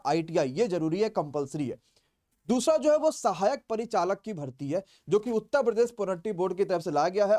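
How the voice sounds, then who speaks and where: A man's voice: high-pitched, a little mellow. A man explaining informally as a coaching teacher, repeating key points for emphasis. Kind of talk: informal exam vacancy briefing.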